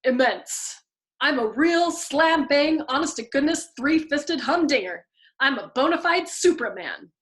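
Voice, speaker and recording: A wry, paranoid young bombardier, voiced by a woman reading a novel aloud. He speaks calmly and innocently, with a deadpan edge. The voice is bright and lively, in a storybook reading style. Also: high-pitched, measured pace, voice pushed up.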